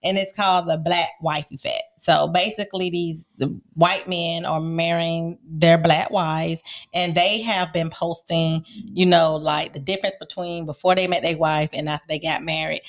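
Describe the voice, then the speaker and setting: A woman's voice: low voice, level tone, moderate pace. An American woman co-hosting a casual panel podcast, telling things emphatically and repeating herself for effect. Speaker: podcast co-host.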